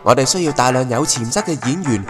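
A man's voice: medium pitch, animated, brisk. Distant male announcer over music. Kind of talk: scripted credits and promo announcement.

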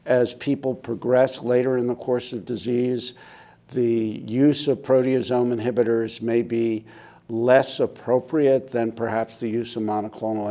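A middle-aged American man moderating a medical panel, asking questions in a deliberate, formal way. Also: mid-range voice, dark tone. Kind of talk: interview questioning. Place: indoor conference interview set.

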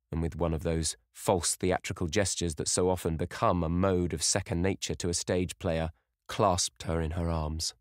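A man narrating a classic novel in a measured, unhurried way. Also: deep voice, no room sound, studio quality. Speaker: audiobook reader. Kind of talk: scripted narration.

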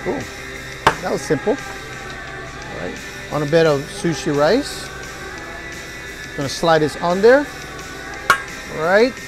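A man's voice: fairly high pitch, very animated, slow, long pauses, music in the background, low-quality recording. A man in his forties, a chef demonstrating dishes in a restaurant kitchen and hyping the food to his viewers. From Hawaii. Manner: upbeat, hypes the food to viewers. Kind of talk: cooking demonstration.

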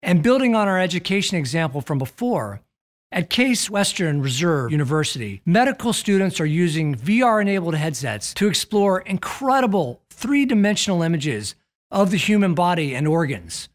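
A middle-aged American man giving a scripted keynote in a measured, emphatic corporate manner. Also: high-pitched, sing-song. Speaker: telecom executive giving a keynote. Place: indoor studio with plain backdrop.

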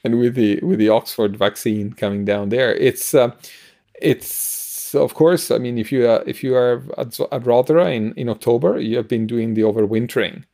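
A middle-aged man co-hosting a casual news discussion over a video call, speaking haltingly with frequent pauses. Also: medium pitch, vocal fry, mellow. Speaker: podcast co-host. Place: home room, indoors.

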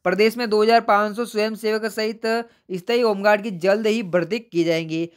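High-voiced man reading news updates. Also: steady tone, fairly quick. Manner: chatty, addresses viewers as friends. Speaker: YouTube news channel host. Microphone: voice-over on screen recording.

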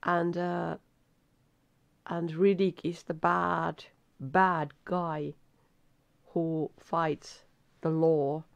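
A middle-aged woman with a Finnish accent, very tired, reading a text aloud in halting English with stumbles and restarts. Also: fairly low pitch, darkish tone, no echo. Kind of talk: casual self-recorded reading practice. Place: small room at a desk.

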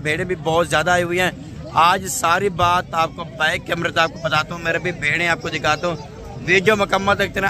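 A man, talking emphatically and repetitively to viewers as a livestock market vlogger. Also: sits high. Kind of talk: vlog addressed to camera. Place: open-air livestock market. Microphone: phone at arm's length. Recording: busy outdoor crowd noise.

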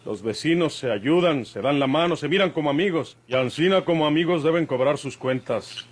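An actor playing a fair-minded young cowboy outlaw in an old radio drama, measured and emphatic, with a rural Mexican accent. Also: male voice, on the high side, breathy edge. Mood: resolute. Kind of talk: Mexican golden-age radio drama acting.

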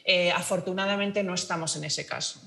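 A woman's voice: on the low side, steady tone, fairly quick, gravelly, sharp tone. A woman researcher speaking over a video call from an office, explaining steadily. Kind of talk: remote panel contribution. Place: office with shelves.

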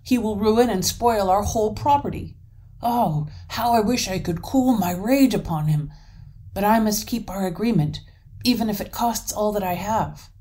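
A woman voicing a furious, blustering male troll from a storybook, with shouted, drawn-out emphatic delivery. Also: on the low side, animated, lightly acted. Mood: exasperated, scheming.

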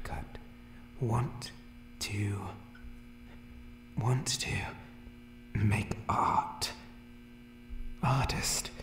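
Monster barber for horror ASMR: obsessive creature, whispered broken phrases, very slow delivery, roomy echo. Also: male voice, on the low side. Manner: broken, clipped speech with repeated words. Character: monstrous male barber creature from dark places, obsessed with cutting.

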